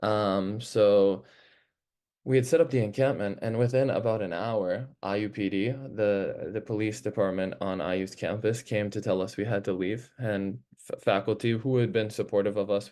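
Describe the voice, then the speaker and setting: A young man from Palestine, a student organizer, speaking earnestly on an online panel, halting often with fillers and restarts. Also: on the low side, mellow. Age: young adult. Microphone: video call.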